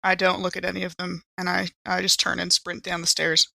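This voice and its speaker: A woman's voice: lowish voice, a little fast, gravelly. A woman player in a tabletop game, chatting casually and playfully as she describes her character's actions. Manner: animated, playful.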